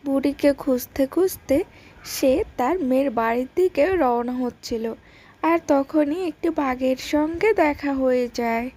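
A woman's voice: sits high, measured pace, dark tone. A woman narrating a scripted fairy tale for children as the storyteller.

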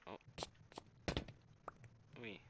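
A man's voice: very slow, a bit bright, no echo, lo-fi sound. A man explaining game steps to friends in casual chat over a headset mic. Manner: explaining, laughs often. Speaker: gamer.

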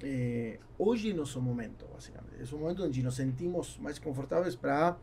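Mellow, gravelly South American man, Spanish accent. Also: mid-range voice, expressive, normal speed, a little hiss or hum. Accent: Spanish-speaking South American. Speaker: guest, international entrepreneur. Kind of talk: casual interview talk. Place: small studio with acoustic foam, indoors. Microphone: podcast microphone on boom arm.

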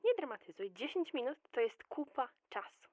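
A woman's voice: high-pitched, very animated, moderate pace, a little mellow, no echo. A woman playing a chatty, motherly office worker who soothes and coaxes with endearments in an audio drama. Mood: calm, confident. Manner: soothing, coaxing, full of endearments. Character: chatty, motherly female office fixer, reassuring and gossipy. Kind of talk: amateur audio drama acting.

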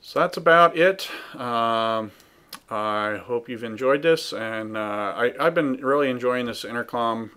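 A man hosting a casual, unscripted software walkthrough, halting and thinking aloud as he goes. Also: mid-range voice, clear, resonant tone. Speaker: tutorial host.

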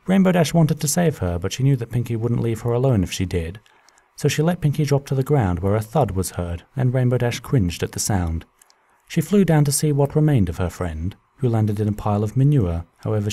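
Low-voiced man narrating over music. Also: warm tone. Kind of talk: scripted comedic storybook narration.

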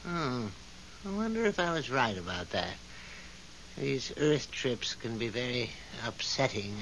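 A man's voice: medium pitch, sing-song, measured pace, a bit bright, low-quality recording. A man playing an absent-minded, musing elder of the afterlife, vague and distracted, in 1970s radio drama style. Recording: hissy 1970s radio recording.

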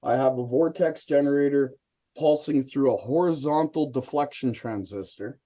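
A man's voice: mid-range voice, normal speed, mellow. A middle-aged man giving a casual live demonstration on a video call as a hobby experimenter. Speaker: hobby experimenter, guest presenter. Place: indoor room, video call.

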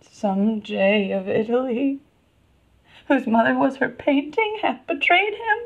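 A woman's voice: high-pitched, lively intonation, slow pace, vocal fry, lo-fi sound, full character voice. A woman playing a passionate, wronged young princess in a classical Shakespearean dramatic monologue, intense and emotional. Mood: tearful despair.

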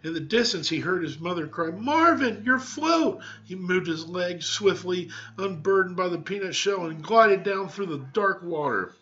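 A man's voice: high voice, moderate pace, hardly any pauses, a bit bright. Male teacher, middle-aged, story read-aloud in a plain-spoken way, lo-fi home recording. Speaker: teacher reading to students. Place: small home room with cabinets, indoors. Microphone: webcam microphone at arm's length. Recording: dull webcam microphone sound.

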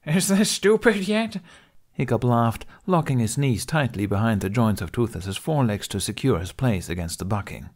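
Low-pitched male audiobook narrator. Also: a little fast, few pauses, a little mellow. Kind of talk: scripted story narration.

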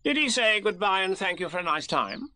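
A man's voice: sits high, big pitch swings, fairly quick, bright, forceful tone, voice pushed up, full character voice. A man voicing a bossy, self-important rabbit in a storybook, with a pompous, officious manner. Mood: sharp, inquisitive.

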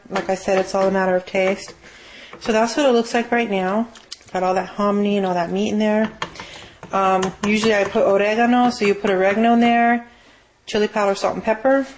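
A woman's voice: mid-range voice, a little mellow. A woman giving a casual, rambling, unscripted cooking demonstration as a home cook in her kitchen. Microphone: handheld camera mic. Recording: noisy amateur camera audio with clicks.

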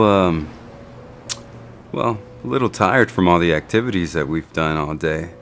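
A young man in an ASMR role-play as a doting boyfriend, speaking softly and intimately with long, tender pauses. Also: low-pitched. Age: young adult.

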